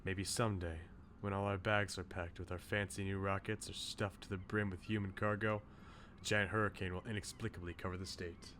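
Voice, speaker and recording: A man reading a brooding, self-sacrificing young man's inner thoughts from a visual novel, low and subdued. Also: flat delivery, brisk, fairly bright tone, a little hiss or hum. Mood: wistful. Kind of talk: visual novel read-aloud.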